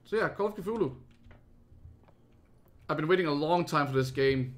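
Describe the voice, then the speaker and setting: A man's voice: a little high, lively intonation, moderate pace. A man from Denmark with a Danish accent, giving casual, chatty let's play commentary as a streamer who gets easily spooked.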